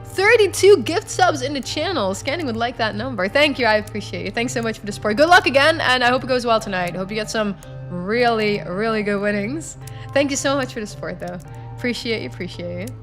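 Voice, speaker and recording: A woman's voice: on the high side, lively intonation, a little fast, fairly bright tone, soft background music. A chatty woman live streaming a game, talking to viewers and reacting aloud as she reads.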